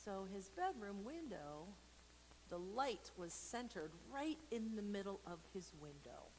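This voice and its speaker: A woman's voice: mid-range voice, a little slow. Woman reading to kids, playful n chatty, lots of asides, big echoey hall sound, bit of noise. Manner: playful, expressive, with silly sound effects and asides; informal and encouraging, talking straight to viewers. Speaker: storyteller and church program host. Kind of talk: casual read-aloud for kids with chatty asides.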